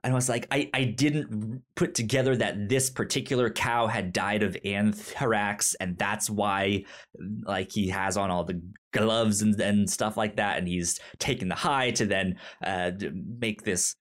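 A man talks casually as a film podcast co-host in an informal, rambling way. His speech is halting, with a stutter that repeats sounds and syllables before words come out. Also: lowish voice, steady tone, fairly bright tone. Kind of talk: casual movie discussion.

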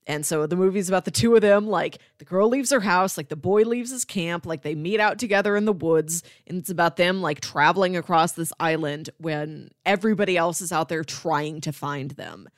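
A woman's voice: lowish voice, normal speed, few pauses, no echo, studio quality. A woman co-hosting a podcast, casually retelling a film's story in a conversational way. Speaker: podcast co-host.

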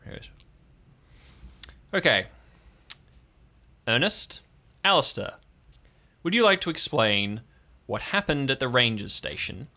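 Curt, impatient woman ranger laid up in hospital, acted by a man, sharp-edged voice, for an improvised radio play. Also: mid-range voice, sing-song, slow, gravelly, pauses often, voice pushed up, full character voice. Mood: controlled, demanding. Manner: curt, demanding.

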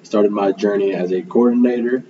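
A young man from Milwaukee, a native Spanish speaker, talks earnestly in a lowish, mellow voice. He reflects as he goes, with plenty of ums and little "right?" check-ins. The recording is a bit muffled, with a faint hum underneath. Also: fairly even intonation, normal speed. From Milwaukee, Wisconsin, USA. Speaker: guest, school program manager. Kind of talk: conversational interview answers.

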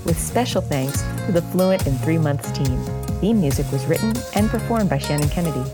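Low-voiced woman reading outro over guitar. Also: animated, normal speed. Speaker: podcast outro announcer. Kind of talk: scripted outro read. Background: loud guitar music.

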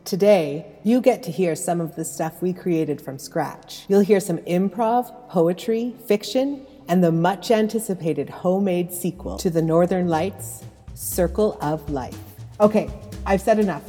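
A woman's voice: on the low side, measured pace, warm tone, big-room sound, soft background music. A woman hosting a podcast, giving a scripted introductory narration. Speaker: podcast host, facilitator and editor.

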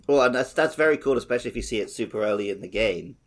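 A man's voice: mid-range voice, lively intonation, racing, a bit bright. A man co-hosting a podcast, talking casually about video games. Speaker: podcast co-host. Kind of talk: casual discussion of a video game.

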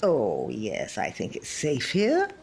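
Woman acting sweetly cutting old lady, expressive lilt. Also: very deep voice, slow, full character voice. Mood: earnest. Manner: sweetly polite with dry retorts. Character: elderly woman, serene, pious, gently cutting old friend. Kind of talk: scripted two-hander audio comedy-drama.

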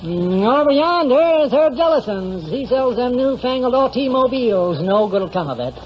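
High-pitched, folksy rural American wagon driver. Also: male voice, sing-song, normal speed. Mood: dryly gossipy. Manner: laconic drawl, hemming before he answers. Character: folksy, dour small-town male wagon driver, gossipy and dryly skeptical. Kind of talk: 1940s radio drama acting. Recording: dull, noisy old radio recording.

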